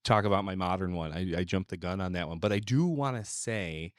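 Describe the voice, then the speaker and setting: A man's voice: sits low, fairly quick, dry recording, studio quality. A man co-hosting a podcast in casual chat, rambling loosely from thought to thought. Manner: rambling, peppers talk with "like". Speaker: podcast co-host.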